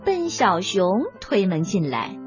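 A woman narrating a scripted children's story with expressive, acted storytelling. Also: medium pitch, slow pace, lo-fi sound, faint music behind.

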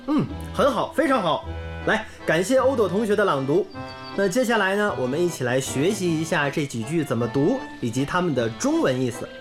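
A man's voice: high-pitched, very animated, brisk. An actor playing a kindly, earnest young male English teacher in a radio drama, with an encouraging manner. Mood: gentle. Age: young adult. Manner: clear, encouraging classroom delivery. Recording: music under the dialogue.

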